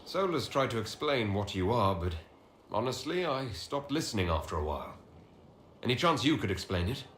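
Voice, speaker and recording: A man's voice: medium pitch, very animated, normal speed, fairly bright tone, reverberant, a little hiss or hum. A man playing a thoughtful, curious leader in a fantasy video game, frank and conversational. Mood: concerned, frank. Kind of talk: dramatic video game acting.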